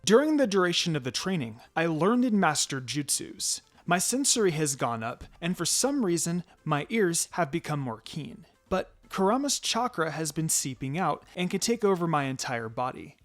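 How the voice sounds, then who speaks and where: Guy reading a story out loud, kinda high voice, super animated pitch, music in the back. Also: moderate pace, few pauses. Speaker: fan fiction reader. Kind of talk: dramatized first-person story narration. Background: background music.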